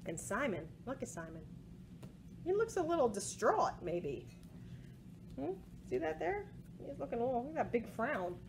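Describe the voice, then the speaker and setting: A woman in her forties reading a picture book aloud to children in an expressive way, with asides about the pictures. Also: fairly high pitch, normal speed, gravelly, long pauses. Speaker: library storytime presenter. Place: small indoor room with bookshelves. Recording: home recording with faint background noise.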